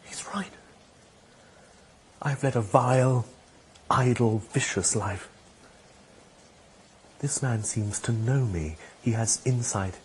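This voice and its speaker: An actor playing an earnest, bewildered count seeking redemption in a radio drama, speaking hesitantly and fervently. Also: male voice, medium pitch, takes it slowly, long pauses, slight background noise, close to an everyday voice. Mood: chastened, grateful. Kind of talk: British radio drama acting.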